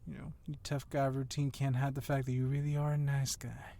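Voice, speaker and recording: A man's voice: mid-range voice, level tone, a little fast, dark tone, no echo, natural voice. Man playing a needling, cocky police wrestler. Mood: sly, admiring. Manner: teasing, needling. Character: smaller taunting policeman wrestler, confident, goading, sly. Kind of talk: ASMR roleplay acting.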